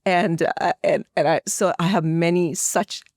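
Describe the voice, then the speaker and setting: A woman's voice: fairly low pitch, steady tone, a little slow, darkish tone, no room sound, studio quality. A middle-aged woman from India with an Indian accent, answering conversationally as an interview guest and telling personal stories. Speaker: podcast guest, actress and filmmaker.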